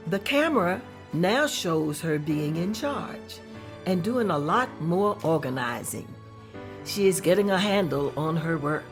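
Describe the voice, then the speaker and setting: A woman narrating the scene directions at a table read in a plain, steady manner. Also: lowish voice, measured pace, faint music behind. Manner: plain, steady descriptive reading. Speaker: narrator reading stage directions.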